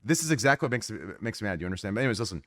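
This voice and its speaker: A man hosting a casual podcast chat, with a deep voice and an emphatic, rambling way of explaining himself. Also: racing. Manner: animated, emphatic, repeats "do you understand". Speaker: podcast host.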